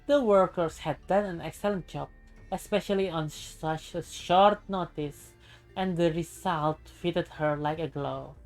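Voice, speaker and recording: A woman streamer narrating a visual novel aloud in a deliberate, expressive storybook style. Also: lowish voice. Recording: music behind the voice. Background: game music.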